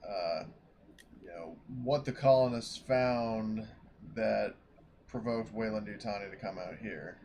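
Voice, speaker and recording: A man's voice: fairly low pitch, takes it slowly, a little mellow. A man chatting casually as a player in a tabletop roleplaying game. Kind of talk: casual chat.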